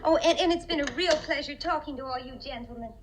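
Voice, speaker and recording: An actress as a chatty, naive young receptionist, highish voice. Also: female voice, quick pace, background noise, close to an everyday voice. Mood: dreamy, chatty. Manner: rambling, sweetly polite, sincere. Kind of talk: 1950s radio comedy acting. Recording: vintage 1950 radio broadcast.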